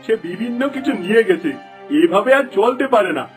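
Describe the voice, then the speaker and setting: A man's voice: high-pitched, animated, fairly quick. A man playing an aggrieved village shopkeeper who complains in cartoon style. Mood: complaining. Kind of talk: Bengali cartoon dubbing. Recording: music under the voice.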